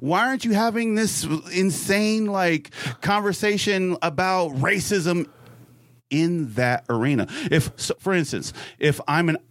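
A man's voice: high voice, unhurried, no echo, studio quality. A man on a podcast, talking in casual, profane, rambling chat. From United States.

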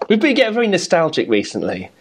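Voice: mid-range voice, wildly swooping pitch, quick pace, slightly rough. A podcast host chatting casually, rambling and often breaking off mid-sentence. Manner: rambling, self-interrupting, laughs often. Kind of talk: casual chat.

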